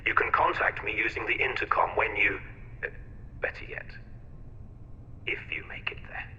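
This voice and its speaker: A man playing an eccentric, sinister chief surgeon in a video game, speaking with florid, mocking courtesy in a breathy half-whisper. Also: on the low side, lively intonation, moderate pace, sharp tone, dull audio, full character voice. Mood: mocking, condescending. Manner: theatrical, florid, mocking politeness. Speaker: game voice actor. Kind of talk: video game cutscene acting. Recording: echoey intercom in a reverberant hall.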